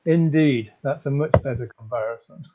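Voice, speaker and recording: A man's voice: mid-range voice, moderate pace, noisy background. A man co-hosting a live book reading, chatting casually in a wry, rambling way. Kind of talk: casual group chat. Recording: muffled, band-limited sound.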